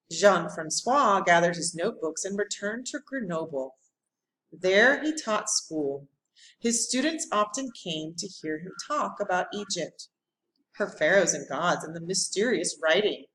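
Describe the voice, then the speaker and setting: Woman reading aloud slowly, very animated. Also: mid-range voice, rough recording. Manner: deliberate, dramatic phrasing with pauses. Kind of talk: expressive read-aloud of a children's history storybook.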